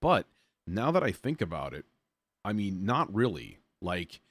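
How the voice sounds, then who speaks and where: A man's voice: sits low, sing-song, measured pace, dry recording. A man hosting a casual music production demo, thinking aloud in an informal, self-deprecating way as he works. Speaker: livestream host, music producer demonstrating software.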